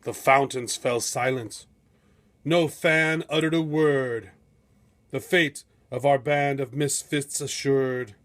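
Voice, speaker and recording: A man reading a rhyming storybook aloud in a theatrical, measured, epic storytelling manner. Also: medium pitch, fairly even intonation, a bit bright. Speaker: storybook reader. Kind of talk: dramatic rhyming storybook narration.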